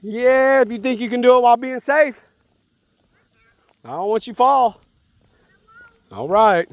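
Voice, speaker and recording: A man's voice: very high voice, animated, measured pace, dry recording. A man talking casually and encouragingly as a father to a young child, outdoors at a park. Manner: encouraging, informal, addresses child as man and bud. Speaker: father and vlogger.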